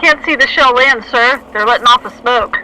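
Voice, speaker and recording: Lady doing an anxious army observer in a radio play, loud n urgent, lots of noise behind. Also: a little high, moderate pace. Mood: excited, urgent. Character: anxious army battlefield lookout reporting to commander. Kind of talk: radio drama acting. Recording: noisy radio play battle ambience.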